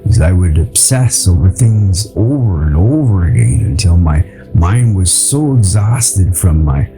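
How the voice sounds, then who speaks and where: Male audiobook reader for memoir and verse. Slow, measured delivery, lowish pitch, reflective pauses. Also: animated, mellow, soft background music, low-quality recording. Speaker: audiobook narrator. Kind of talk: scripted audiobook narration of memoir and poetry.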